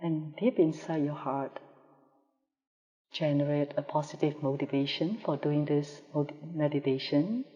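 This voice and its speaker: A woman leading a guided meditation as a teacher, calm and measured, with pauses between phrases, recorded over a live video call. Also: low voice, muffled recording. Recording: reverberant hall-like sound, live video call.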